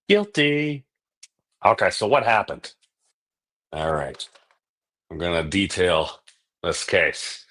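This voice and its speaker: Male livestream host, lowish voice. Casual, digressive, jokey and happy to poke fun at himself. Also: takes it slowly, pauses often, a bit bright, breathy edge. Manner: self-deprecating, jokey, digressive. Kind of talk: casual rambling livestream chat.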